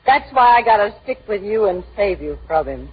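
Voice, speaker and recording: An actress playing a brassy, slangy, scheming but soft-hearted woman in 1940s radio comedy-drama, with a husky, raspy voice. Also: mid-range voice, big pitch swings, normal speed. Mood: blunt. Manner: slangy, wisecracking. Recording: muffled 1940s radio recording.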